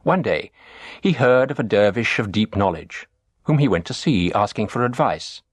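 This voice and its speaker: Male storyteller, lowish voice, lively intonation, measured scripted narration in a dry recording. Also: dry recording. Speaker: storyteller reading teaching tales.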